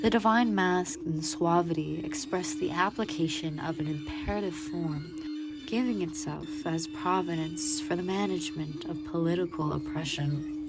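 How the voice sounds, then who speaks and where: A woman giving a deliberate, solemn dramatic reading of literary prose. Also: lowish voice, rough recording. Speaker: reader. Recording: music behind the voice. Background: music.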